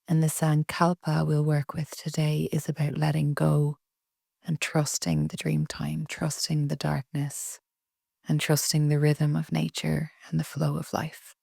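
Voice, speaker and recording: A woman leading a guided relaxation meditation in a soft, breathy, soothing way with long pauses. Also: sits low, monotone, dry recording, studio quality. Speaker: yoga nidra meditation guide.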